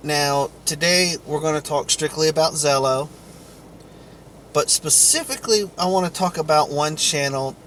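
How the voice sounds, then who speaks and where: A man giving an informal explainer monologue to viewers in a halting, deliberate way, with long pauses. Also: fairly high pitch, a bit bright, slight background noise. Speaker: vlogger.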